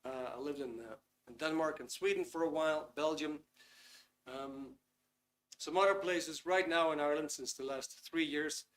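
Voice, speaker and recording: A man with a Dutch accent hosting a live online webinar in a casual, chatty way, often pausing with ums. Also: on the high side, a bit bright. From Netherlands. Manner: chatty, reads out chat comments, frequent ums. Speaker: webinar host.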